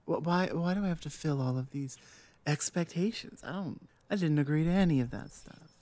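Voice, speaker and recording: A woman's voice: sits low, normal speed, warm tone, no echo, lightly acted. A woman playing a rebellious young oni in a roleplay audio, with an exasperated, rambling, stop-start delivery. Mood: exasperated, venting. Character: rebellious young female oni venting about tradition. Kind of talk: roleplay audio acting, one-sided conversation.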